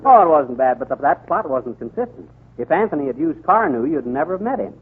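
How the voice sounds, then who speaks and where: A boastful, blustering husband, high and husky, with animated swings in his delivery. It sounds like 1930s radio comedy. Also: fairly quick. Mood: wry, casual. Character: blustering, boastful husband, easily carried away. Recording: tinny 1939 radio broadcast recording.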